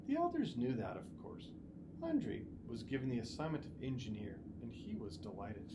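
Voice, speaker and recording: A school superintendent reading a children's novel aloud in an expressive storybook style, with the odd stumble. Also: mid-range voice, normal speed, background noise.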